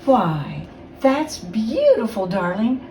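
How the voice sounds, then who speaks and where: A woman's voice: a little high, huge pitch swings, slow, exaggerated voice. A woman voicing a kindly, doting mother pig from a storybook in a sweet, encouraging way. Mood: delighted. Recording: roomy, distant mic, faint noise.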